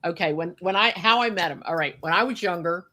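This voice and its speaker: A woman's voice: lowish voice, fairly quick, bright, forceful tone. Older woman telling stories, chatty and emphatic. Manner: chatty, emphatic, conversational asides. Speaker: podcast host telling personal memories. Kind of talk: casual, unscripted storytelling.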